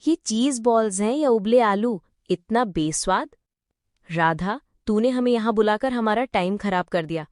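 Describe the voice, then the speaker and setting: A woman's voice: medium pitch, expressive, measured pace, no room sound, studio quality, close to an everyday voice. A woman voicing a blunt young college friend in a cartoon story. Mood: scornful. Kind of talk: cartoon voice acting.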